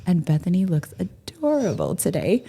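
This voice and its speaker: A woman co-hosting a faith-based podcast, chatting casually with a raspy voice and frequent laughs. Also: fairly low pitch, wildly swooping pitch, moderate pace, dark tone. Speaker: podcast co-host. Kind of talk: casual chat.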